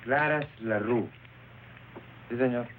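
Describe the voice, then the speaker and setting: A man's voice: mid-range voice, unhurried, lightly acted. An actor playing an obliging Mexican errand man in a 1940s noir film. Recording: tinny, hissy old film soundtrack.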